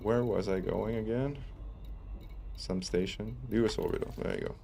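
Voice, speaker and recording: A deep-voiced man from Italy speaks with a faint Italian accent worn down by years away. He chats loosely and drifts between topics, reacting to a stream chat while he plays. A steady hiss of background noise sits under his voice. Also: normal speed, gravelly. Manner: relaxed, chatty, reads chat aloud. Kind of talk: casual gameplay stream chat.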